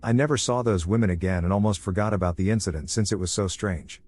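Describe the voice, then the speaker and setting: A man with a fairly low voice reads out creepy first-person stories. His delivery is calm and steady, a scripted storytelling narration. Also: fast talker. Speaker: voice actor narrating submitted stories.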